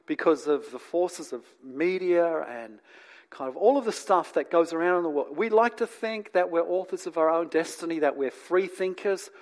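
A man from England who grew up in New Zealand and lives in Australia, preaching in a conversational, teacherly way with asides to his listeners and deliberate pauses. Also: on the high side, a little mellow. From born in England, grew up in New Zealand, lives in Australia. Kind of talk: conversational sermon to an audience.

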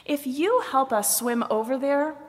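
A woman's voice: sits high, lively intonation, unhurried, pitched above the performer's own voice. A woman voicing an eager, bossy male head from a folk tale, with exclamatory storybook delivery. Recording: long hall reverb.

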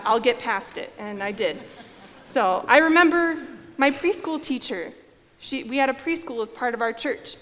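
A young woman pastor from Iowa delivers a sermon in an earnest, measured storytelling manner. Her voice sits a little high. The church around her adds a long, lingering reverb. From Iowa, United States. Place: church sanctuary.

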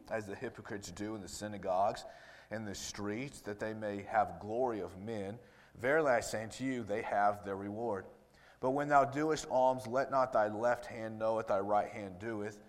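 A man in his thirties with a rough, worn-sounding voice delivers a sermon, reading scripture aloud and then talking it through in a loose, conversational way with plenty of ums. His pitch sits at an ordinary middle level for a man. The room rings with a long reverb, like a church sanctuary. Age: 30s. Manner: conversational with frequent ums and restarts. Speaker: preacher.

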